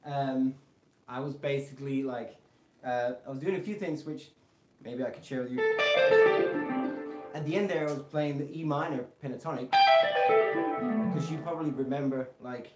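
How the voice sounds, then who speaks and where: Relaxed man with a British-American accent. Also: mid-range voice, normal speed, long pauses. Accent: British English mixed with American. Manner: relaxed and rambling, many fillers and restarts. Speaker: guitar teacher hosting a live stream. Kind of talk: live-streamed lesson, chatting with viewers. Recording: roomy echo, faint guitar behind.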